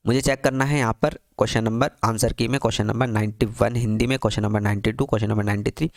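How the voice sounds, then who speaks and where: A man's voice: mid-range voice, creaky, no echo, clean studio sound. A man tutoring for a teaching exam, walking through an answer key on screen, asking himself questions and answering them with constant repetition.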